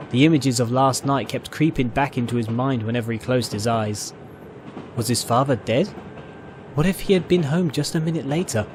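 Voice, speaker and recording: A man's voice: medium pitch, background noise. A man reading a story aloud as a scripted, dramatic narration. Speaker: narrator, fan fiction reader.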